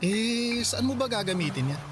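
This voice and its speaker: A man's voice: sits high, very animated, normal speed, over music. An actor playing a kind, generous young male college student who speaks in an easygoing, caring way in a radio drama. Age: young adult.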